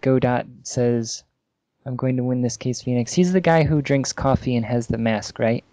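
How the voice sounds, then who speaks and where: A man's voice: medium pitch, steady tone, normal speed, dark tone, dry recording. Casual man with a dry, close sound. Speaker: podcast co-host reading fan fiction. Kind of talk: casual comic read-aloud with asides.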